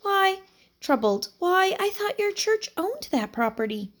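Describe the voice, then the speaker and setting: A woman voicing a frail, kindly old lady of ninety-two with a feeble, high-pitched, gushing voice. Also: big pitch swings, exaggerated voice. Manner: chirpy, slow and gushing.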